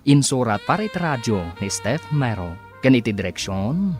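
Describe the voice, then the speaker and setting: A man's voice: mid-range voice, very animated, moderate pace, over music. A man announcing a radio drama serial in a dramatic style.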